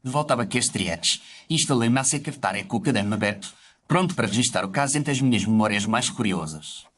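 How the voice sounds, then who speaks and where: Reflective gentleman narrator, voiced by a man, quick pace, formal literary storytelling, faint background noise. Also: lowish voice, expressive. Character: reflective, gentlemanly doctor narrating his memoirs. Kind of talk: scripted first-person story narration.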